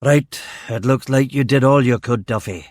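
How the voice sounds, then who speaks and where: A man voicing a blunt, burly older police superintendent in an audiobook, with a gravelly Glaswegian accent. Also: medium pitch, normal speed, darkish tone, no echo, close to an everyday voice. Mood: gruff, protective. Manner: blunt, authoritative. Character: burly, angry older police chief superintendent, commanding but protective. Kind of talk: audiobook character dialogue.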